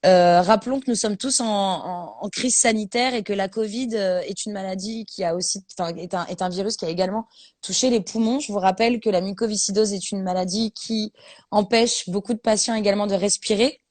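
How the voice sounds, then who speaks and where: A woman's voice: mid-range voice, fairly quick, a little mellow, dry recording. A woman in her thirties hosting a casual live stream from her phone, playful and teasing, often laughing.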